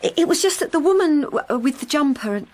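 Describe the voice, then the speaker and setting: A woman's voice: high-pitched, lively intonation, fast talker, darkish tone. A woman playing a timid, anxious wife in a radio drama, speaking hesitantly with stammering restarts, both in dialogue and intimate narration. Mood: flustered, hesitant. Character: anxious, timid wife who has turned invisible.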